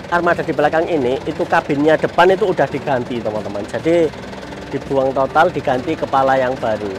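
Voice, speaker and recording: A man in his thirties casually showing off a minibus outdoors, chatting to his viewers as friends. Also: on the high side. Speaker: vlogger. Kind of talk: casual walkaround vlog. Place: outdoor car show parking lot. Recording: outdoor noise behind voice.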